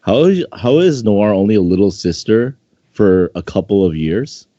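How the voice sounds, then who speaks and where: A man's voice: on the low side, expressive, normal speed, mellow. A man reading fan fiction aloud in an amateur, casual way, stumbling over the text and commenting on it. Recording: dull, roomy home microphone recording.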